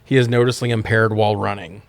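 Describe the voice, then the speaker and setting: A man's voice: on the low side, flat delivery, fast talker, no echo. A man co-hosting a podcast, sharing movie trivia in a jokey, conversational, sometimes sweary way. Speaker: podcast co-host. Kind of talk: casual film-trivia chat, partly reading facts.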